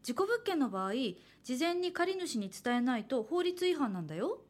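High-voiced, cheerful young woman, horror drama. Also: sing-song, normal speed. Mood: cheerful, reassuring. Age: young adult. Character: young woman chasing manga-artist dream, cheerful, reassuring, a little uneasy. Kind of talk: Japanese horror radio drama acting with first-person narration.

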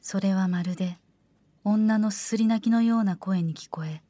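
A woman narrating a scripted horror radio drama in the first person, in a measured, formal storytelling manner. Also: medium pitch, dark tone, slight background noise.

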